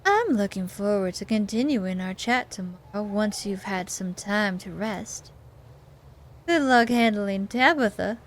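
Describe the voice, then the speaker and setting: A woman voicing a guarded local woman in a visual novel game, measured and wry. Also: mid-range voice, animated, slight background noise, natural voice. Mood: kindly.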